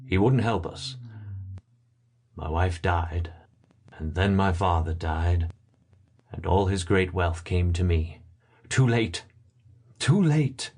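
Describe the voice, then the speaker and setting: Man playing a low-voiced, sorrowful old gentleman. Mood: anguished. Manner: solemn, earnest, sorrowful. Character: grieving, gentle old rich gentleman, bereaved father. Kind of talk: dramatic audiobook reading.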